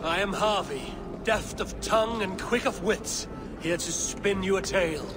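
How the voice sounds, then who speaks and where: Courteous, lightly high-voiced Norse lord. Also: male voice, measured pace, very noisy. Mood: declaiming. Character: courteous, quick-witted Norse god lord in disguise. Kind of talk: video game dramatic acting. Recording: loud outdoor ambience behind.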